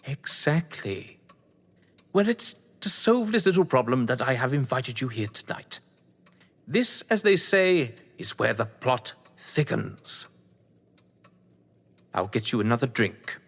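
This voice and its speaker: A man's voice: medium pitch, big pitch swings, slow, gravelly, pauses often, fairly bright tone, husky, big-room sound, heavily acted. A man playing a suave, scheming, condescending English crime novelist in a theatrical audio drama, with wry, florid delivery. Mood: sly, conspiratorial. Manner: pompous, wry, florid phrasing.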